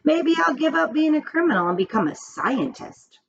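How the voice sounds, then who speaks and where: A woman's voice: high voice, big pitch swings, moderate pace, vocal fry, a bit bright, lo-fi sound, a little hiss or hum, voice pushed up, exaggerated voice. A woman voicing a blustering, gullible male robber in a storybook reading, demanding and incredulous. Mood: pleased.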